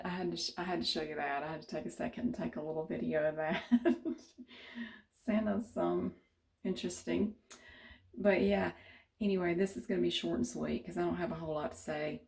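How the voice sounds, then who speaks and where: Southern woman vlogger, middle-aged, mellow and rambling, easy chat. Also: fairly low pitch, fairly even intonation, normal speed, creaky. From central North Carolina. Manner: chatty, rambling, many restarts. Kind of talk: casual chat to camera.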